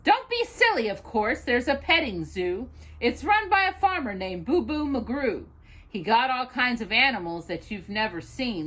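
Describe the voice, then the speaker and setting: Woman, children's storytime reader, sing-song rhymes, unhurried pace, highish pitch, expressive delivery. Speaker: storytime host reading aloud. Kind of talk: children's picture book read-aloud of rhyming poems.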